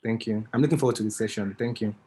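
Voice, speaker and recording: Low-voiced man, polite. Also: fairly even intonation, quick pace, warm tone, slightly rough. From Nigeria. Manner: polite, earnest. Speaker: fellow, social entrepreneur. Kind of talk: self-introduction on a video call. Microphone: video call.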